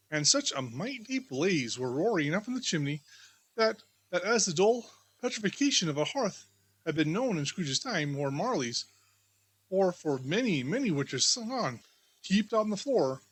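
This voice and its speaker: A woman storybook reader giving scripted, expressive audiobook narration of a classic tale. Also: lowish voice, husky, rough recording.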